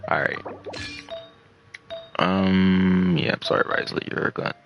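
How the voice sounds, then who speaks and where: A man's voice: low voice, level tone, takes it slowly, dull audio. A man casually commentating on his gameplay as a streamer, rambling and muttering to himself. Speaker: game streamer. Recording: game music behind the voice. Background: video game music.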